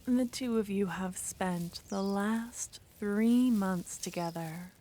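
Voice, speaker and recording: Slow soft-spoken woman narrator. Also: mid-range voice, slight background noise. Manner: soft-spoken, gentle whispering. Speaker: ASMR storyteller. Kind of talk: ASMR roleplay narration.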